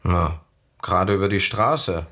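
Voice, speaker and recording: A low-pitched man as a gruff suburban neighbor answering police questions. He is helpful, in a naturalistic radio-drama manner. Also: unhurried, a bit bright. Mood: gruff, cooperative.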